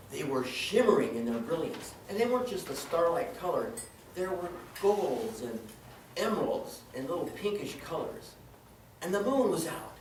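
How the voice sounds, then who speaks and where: Echoey, animated high-pitched male storyteller. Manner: theatrical, dramatic storytelling with exclamations and acted-out quotes. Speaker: storyteller in a tall tales contest. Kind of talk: live comedic tall-tale storytelling to an audience. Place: indoor room before a live audience. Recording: echoey room, distant mic, noisy.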